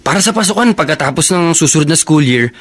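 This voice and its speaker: A resolute young elder brother. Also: male voice, fairly high pitch, lively intonation, fast talker, a bit bright, slightly rough. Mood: reassuring. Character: resolute, protective teenage elder brother, hurt but responsible. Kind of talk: radio drama acting.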